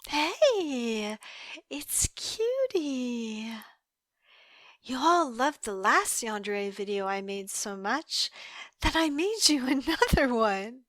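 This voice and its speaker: A woman's voice: a little high, very animated, slow, fairly bright tone, no echo, clean studio sound. A woman who creates audio roleplays, chatting casually and directly to her listeners in an outro.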